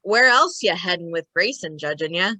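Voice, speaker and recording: A woman's voice: lowish voice, animated, normal speed, sharp tone, no room sound. A woman playing an anxious, loyal gangster sidekick with slangy street talk in an old time radio drama. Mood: worried.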